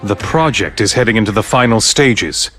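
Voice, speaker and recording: Low-voiced, stern project director. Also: male voice, expressive, normal speed, a bit bright, soft background music. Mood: businesslike. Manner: curt, authoritative. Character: stern, driven male project director and father. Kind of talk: video game cutscene voice acting.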